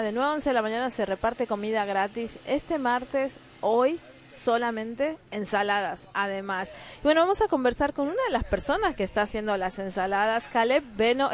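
A woman with an Argentine Spanish accent hosting a live radio interview outdoors in a parking lot, chatty and playful. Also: a little high. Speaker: radio show host.

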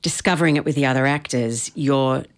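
A woman's voice: extremely low pitch, brisk. A middle-aged Australian woman, a stage actor, talking thoughtfully and expressively in a radio interview, often restarting her sentences.

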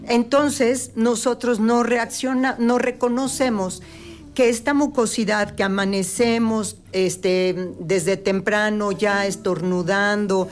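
A woman's voice: mid-range voice, fairly even intonation, slow, soft background music. A woman on a talk show, patiently explaining health topics with everyday examples. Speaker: health guest expert.

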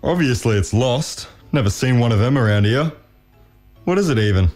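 Guy doing a grumpy aussie wallaby shop owner for a kids tale, blunt, muddled, medium pitch. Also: lively intonation, moderate pace, darkish tone, soft background music, lightly acted. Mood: puzzled, blunt. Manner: blunt, fumbling for words. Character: gruff, puzzled older male wallaby shopkeeper.

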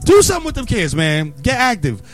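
High, animated New York radio man. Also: a little fast, soft background music. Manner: animated hype-man delivery with slang and laughs. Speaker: radio show host. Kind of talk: morning radio show segment, casual talk.

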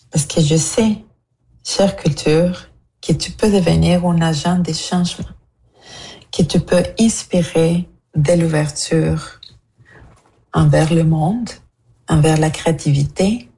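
A woman's voice: sits low, takes it slowly, vocal fry, pauses often, warm tone, rough recording. A woman from Mexico leaving an earnest, prepared personal message as a radio listener.

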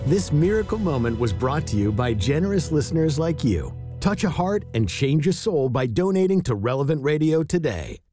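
A man's voice: a little high, very animated, moderate pace, warm tone, soft background music. A man voicing scripted radio promos in a polished, inviting announcer style. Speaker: station announcer.

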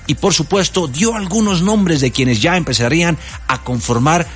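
A man's voice: on the high side, normal speed, faint music behind. Colombian radio news anchor, man. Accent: Colombian Spanish. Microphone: studio microphone.

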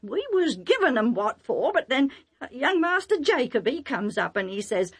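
Woman acting indignant rustic herdsman, pitched high. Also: sing-song, normal speed, fairly bright tone, breathy edge, full character voice. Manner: rustic uneducated speech, emphatic and breathless pleading. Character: rough, indignant, pleading male herdsman servant.

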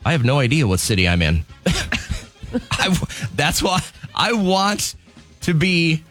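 A man's voice: a little high, huge pitch swings, slow, fairly bright tone, soft background music. A man in his fifties co-hosting a morning radio show, chatting casually and laughing easily. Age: 50s. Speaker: radio co-host.

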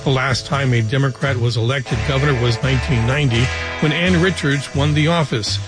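A man reading a radio show promo with a brisk announcer delivery. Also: mid-range voice. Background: music bed.

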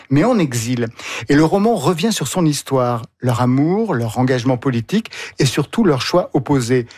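Un homme qui anime un entretien littéraire et présente les livres avec aisance. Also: male voice, mid-range voice, darkish tone, breathy edge, no echo. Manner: articulate, presents books at length. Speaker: host. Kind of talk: literary interview.

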